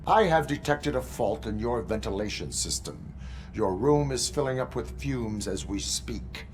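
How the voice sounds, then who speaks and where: An actor playing a stern cyborg police officer in a video game, speaking formally and deadpan with an American accent. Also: male voice, mid-range voice, a little slow, soft background music, lightly acted. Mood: stern, flat. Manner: formal, deadpan, clipped commands.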